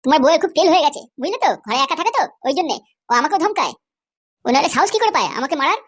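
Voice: high-pitched, expressive, rapid-fire, a bit bright. A performer voicing an insolent, foul-mouthed son who taunts and orders people around in a comedy cartoon. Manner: taunting, bossy.

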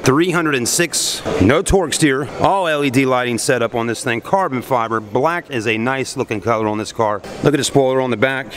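A man's voice: mid-range voice, a little fast, hardly any pauses, fairly bright tone. A man, vlogging with a handheld camera, chatty and enthusiastic. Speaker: car vlogger. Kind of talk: excited casual vlog. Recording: noisy outdoor and car sound.

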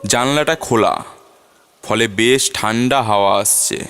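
A man's voice: lowish voice, a little slow, a bit bright. A man playing a frightened lodger who tells his own ghost story in a dramatic horror cartoon style. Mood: tense narrating. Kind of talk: dramatised first-person horror cartoon narration. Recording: music under the voice.

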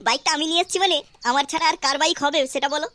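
A woman's voice: high voice, steady tone, racing, sharp tone, slight background noise. A woman voicing a cheeky, boastful boy in a comedy cartoon, with a teasing manner. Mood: gloating, teasing. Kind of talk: Bengali comedy cartoon voice acting.

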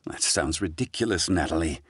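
A man's voice: sits low, animated, brisk, fairly bright tone, breathy edge, no room sound, heavily acted. An actor playing a grave, brooding middle-aged wealthy man in a video game cutscene, speaking formally and theatrically. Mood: grave, weary. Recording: background music.

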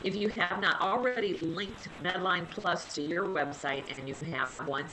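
A woman's voice: fairly low pitch, normal speed, noisy background. A woman with a slight cold and a somewhat nasal voice, chatting casually as she presents free health resources in a webinar.

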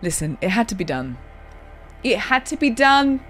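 A woman's voice: fairly high pitch, sing-song, normal speed, fairly bright tone. Chatty woman playing a game. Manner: chatty, joking, self-mocking asides to viewers. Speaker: voice actor streaming a game she voiced. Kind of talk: casual let's-play commentary. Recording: game audio with music behind her.

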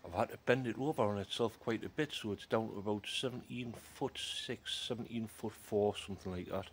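A man's voice: on the low side, fairly quick. Casual man, noisy recording. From North East England. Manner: informal, off-the-cuff commentary. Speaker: amateur radio hobbyist demonstrating equipment. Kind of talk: casual hands-on video demonstration. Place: outdoors in a yard, then a small living room. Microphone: handheld phone camera behind the view.

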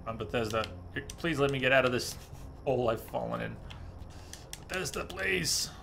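A man's voice: medium pitch, animated, normal speed, creaky, bright, forceful tone, slight background noise. A man in his thirties giving casual, easygoing live commentary as he streams a game. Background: game music.

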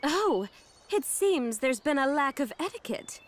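An actress playing a refined, haughty young hostess with formal poise in a video game cutscene. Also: female voice, high voice, very animated, unhurried. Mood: poised challenge.

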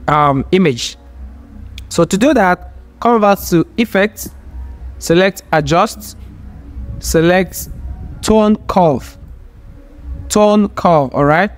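A man with a high voice leads a software tutorial. He explains things step by step in an informal way, punctuating the instructions with small check-ins. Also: clear, resonant tone. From Nigeria. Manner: informal, step-by-step, often says "all right". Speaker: tutorial host. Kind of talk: software tutorial, talking through steps. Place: voice-over for a screen recording.

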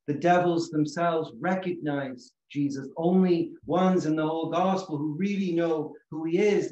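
A middle-aged man, an Orthodox priest, giving a sermon in a measured, earnest way with emphatic phrasing, recorded in a small room. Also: a little high, darkish tone. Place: small room chapel in a house, indoors. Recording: echoey room, slightly dull sound.

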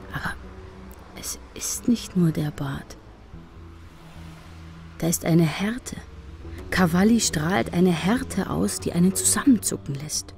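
Hushed wounded woman detective, slow and mellow, crime radio play. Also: lowish voice, gravelly, heavily acted. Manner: measured, hushed. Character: wounded, pleading woman detective, narrating her own story. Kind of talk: German crime radio drama, intimate narration and dialogue.

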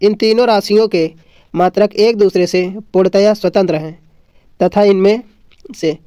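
A man's voice: high voice, mellow, clean studio sound. A man teaching an exam lesson from notes, didactically repeating points and answering his own questions. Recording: dull lo-fi, roomy echo.

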